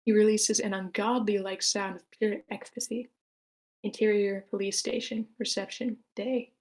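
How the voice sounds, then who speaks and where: A woman's voice: mid-range voice, flat delivery, moderate pace, a little mellow. A woman reading out scripted stage directions as the narrator of a screenplay table read.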